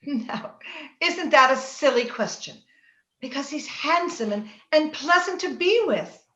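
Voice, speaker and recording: A woman playing a proud, willful young gentlewoman torn by romance, theatrical and emotional in old-time radio drama style. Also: high-pitched, expressive, unhurried, heavily acted. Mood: excited, evasive. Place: indoor room, recorded remotely over video call. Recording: roomy, dull video-call recording.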